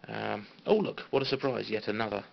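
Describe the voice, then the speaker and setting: A British man talks in a low, creaky voice, giving an informal show-and-tell in a halting, understated way. The sound is a dull phone recording with faint noise behind him. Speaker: CB radio hobbyist giving a tour of equipment. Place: small radio room at home. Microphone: handheld phone.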